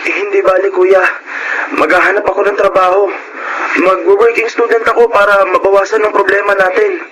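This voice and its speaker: A man's voice: high voice, flat delivery, fairly quick, fairly bright tone, slight background noise, poor audio. A man acting a sympathetic, helpful student brother in a Filipino radio drama, speaking earnestly. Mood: determined.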